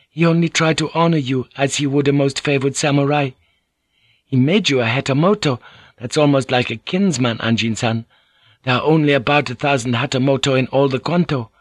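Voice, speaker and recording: A man's voice: mid-range voice, dry recording, voice pushed up, close to an everyday voice. A man reading an audiobook, voicing a polite, anxious young Japanese noblewoman who serves as interpreter. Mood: soothing. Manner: polite, soothing, earnest. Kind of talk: audiobook character voice.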